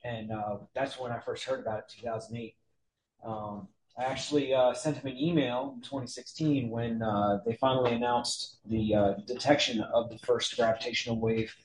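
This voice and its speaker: A man introduces a guest speaker at a club meeting. He speaks haltingly, with many uhs and restarts. The room gives his voice a noticeable echo. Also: mid-range voice, steady tone, noisy background.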